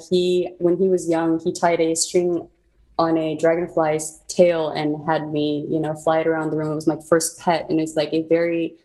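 A woman's voice: on the low side, rough recording. A young woman from South Korea, telling her immigration story as an interview guest, reflective with frequent ums. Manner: reflective, many ums and soft laughs. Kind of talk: personal storytelling.

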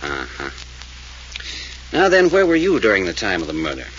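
An actor playing a wisecracking male amateur detective in a 1940s radio drama, questioning in a brisk, wry, probing manner. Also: deep voice, wildly swooping pitch, a bit bright, heavily acted. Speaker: detective figure. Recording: dull old radio recording with faint hiss.

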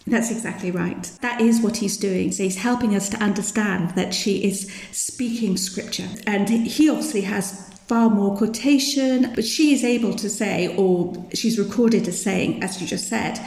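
Creaky-voiced woman explaining history. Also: medium pitch, normal speed, hardly any pauses, a little mellow, roomy sound, rough recording. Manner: fluent, lecturing, emphatic. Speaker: guest historian. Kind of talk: academic interview explanation.

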